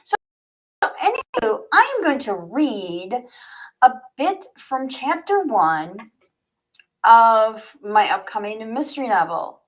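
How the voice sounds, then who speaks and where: A woman's voice: fairly high pitch, sing-song, slow pace, low-quality recording. A woman livestreaming as an author, chatty and informal as she speaks straight to her viewers. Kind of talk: casual livestream chat, then reading own fiction aloud.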